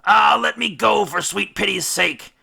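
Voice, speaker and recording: Storybook sea captain, played by a male reader: frantic, commanding, raised pitch, forceful delivery. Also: a little slow, creaky, breathy edge, full character voice. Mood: pleading. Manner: shouting, imperious, desperate.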